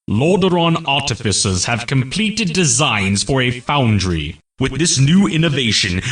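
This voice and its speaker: A man playing a solemn military herald, narrating mission briefings for a fantasy game in a formal, measured, grave and declamatory way. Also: mid-range voice, a bit bright, distant mic.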